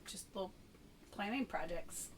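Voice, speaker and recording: A woman's voice: mid-range voice, animated, normal speed, a crowd in the background. A middle-aged woman chatting casually as a podcast guest. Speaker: podcast guest, retired sailor. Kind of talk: casual chat. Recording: distant mic, clear background noise.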